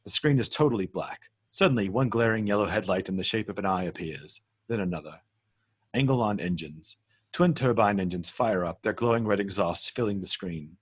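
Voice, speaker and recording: A man's voice: lowish voice, fairly quick, breathy edge. A man narrating stage directions in a script table read, matter-of-fact and occasionally stumbling over words.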